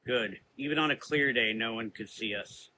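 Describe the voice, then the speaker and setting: A man's voice: mid-range voice, normal speed, sharp tone, slight background noise, rough recording. A man playing a brooding, cryptic adventurer with a grave, formal manner in a gothic horror audio drama. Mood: matter-of-fact. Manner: solemn, cryptic.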